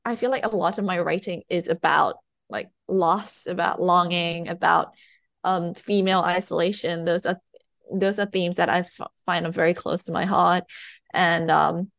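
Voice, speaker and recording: Woman from Thailand, English second language, guest author, low gravelly voice, hesitant, laughs a lot. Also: fairly even intonation, normal speed, a bit bright, no echo. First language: Thai. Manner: hesitant, laughs often, many fillers. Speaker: guest novelist. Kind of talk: casual interview chat.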